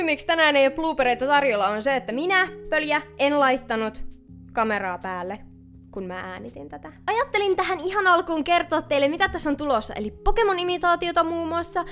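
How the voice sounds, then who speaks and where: A young woman in her twenties with a high voice talks casually to her viewers. She speaks close to a studio mic, with faint music behind her. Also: expressive, a bit bright. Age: 20s. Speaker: YouTuber and fan dubber. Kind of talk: casual vlog chat. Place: home kitchen, indoors. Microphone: large studio mic close to the face.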